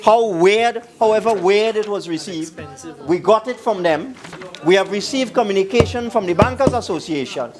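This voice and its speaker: A man's voice: sits high, normal speed, over music. A man with a Trinidadian accent giving an emphatic parliamentary speech, repeating phrases for stress. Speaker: government minister speaking in parliament.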